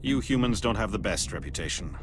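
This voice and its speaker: An actor playing a composed, watchful alien agent in a video game, speaking in a measured, formal, probing way. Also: male voice, lowish voice, expressive, background noise, lightly acted. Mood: grave, measured. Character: composed, watchful alien Spectre agent, a male outsider evaluating humans. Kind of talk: video game cutscene acting.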